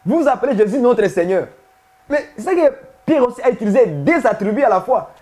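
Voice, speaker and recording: Male preacher with a high voice; insistent, repetitive teaching style, asks questions. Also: big pitch swings, fairly quick, warm tone. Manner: emphatic, repetitive, questions the listeners. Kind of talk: sermon teaching to viewers.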